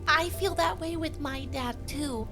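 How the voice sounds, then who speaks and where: A small, anxious creature child with an extremely high voice. The little one is kind and earnest but hesitant, in a family audio drama with music underneath. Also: female voice, measured pace. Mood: gentle, earnest. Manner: stammering, hesitant.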